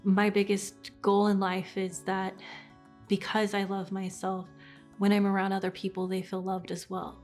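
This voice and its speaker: Earnest woman in her thirties, flat delivery. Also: mid-range voice, moderate pace. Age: 30s. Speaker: interviewee. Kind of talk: reflective interview. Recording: faint music underneath.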